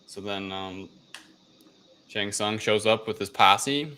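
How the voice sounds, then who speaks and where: A man with a deep, bright-edged voice chats casually through a film recap. He rambles and feels his way along haltingly, swearing freely as he goes. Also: smooth. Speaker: podcast co-host.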